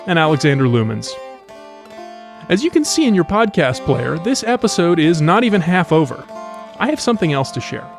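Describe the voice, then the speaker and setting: A man's voice: on the high side, creaky. A man hosting a podcast and interviewing in a conversational style.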